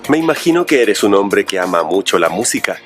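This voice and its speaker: Man, evangelism narration, low voice, expressive pitch, faint music behind. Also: brisk. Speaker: street evangelist and video narrator. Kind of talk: scripted narration and street interview.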